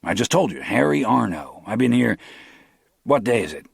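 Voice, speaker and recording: A man's voice: on the low side, big pitch swings, moderate pace, gravelly, husky, no room sound, clean studio sound, voice pushed up, full character voice. A man voicing a chained captive who introduces himself in a wary, matter-of-fact way.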